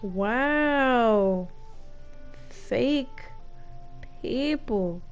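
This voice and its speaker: A woman's voice: fairly high pitch, expressive, extremely slow. A woman giving casual gameplay commentary, playful and slangy, reacting in short quips. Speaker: gameplay streamer. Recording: faint game music behind.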